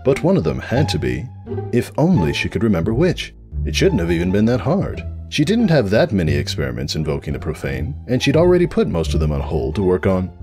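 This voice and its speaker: A man narrates a comic story with a wry, theatrical delivery. His pitch is on the low side and moves very widely, with music underneath. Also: wildly swooping pitch, brisk, darkish tone. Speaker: narrator, fanfiction reader. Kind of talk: dramatic scripted comic narration.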